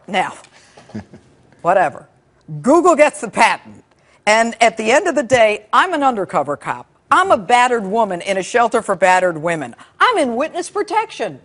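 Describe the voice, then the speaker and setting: An American woman hosting a news interview, putting pointed, challenging questions to her guest. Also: medium pitch. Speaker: TV news host, addressed as Judge. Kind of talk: live broadcast news interview.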